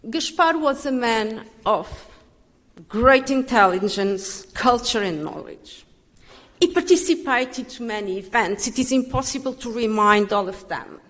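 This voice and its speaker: A woman's voice: a little high, a little slow, a bit bright. Older woman in a reverberant hall. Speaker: speaker giving a tribute. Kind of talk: commemorative speech. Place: large reverberant hall, live speech.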